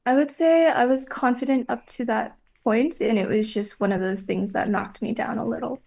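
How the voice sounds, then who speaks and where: A young adult woman whose first language is Vietnamese, answering interview questions in a measured, reflective way with frequent pauses. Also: on the high side, vocal fry, darkish tone, slightly rough.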